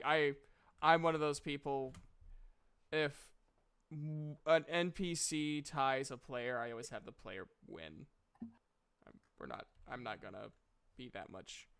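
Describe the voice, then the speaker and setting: A man's voice: mid-range voice, fairly bright tone, clean tone. A man acting as game master, casually narrating and bantering with players in a jokey, self-deprecating way.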